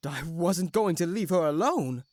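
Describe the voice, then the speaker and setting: Woman as a boyish loyal page, urgent, animated, close dry recording, fantasy audio drama. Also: lowish voice, measured pace, dry recording. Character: young male page, urgent, loyal and protective.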